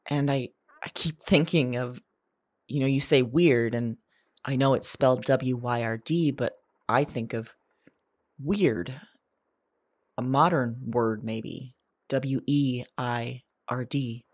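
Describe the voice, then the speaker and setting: A woman's voice: rumbling low, slow pace, no room sound, clean studio sound, exaggerated voice. A woman playing an anxious, searching modern woman in an audio drama, with a hesitant, confessional delivery. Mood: hesitant, thoughtful. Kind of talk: audio drama monologue acting.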